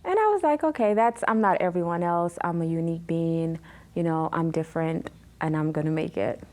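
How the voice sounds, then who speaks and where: Soft-spoken woman from Jamaica. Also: on the low side. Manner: many ums and pauses, earnest retelling. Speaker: guest. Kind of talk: interview, personal story.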